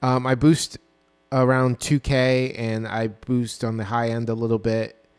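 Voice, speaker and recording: Young man, low and smooth voice, unhurried rambling producer talk on a dynamic mic in a small home studio. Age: 20s. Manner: relaxed, rambling, full of ums and self-corrections. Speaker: music producer. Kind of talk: casual walkthrough of a song mix. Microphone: dynamic broadcast mic.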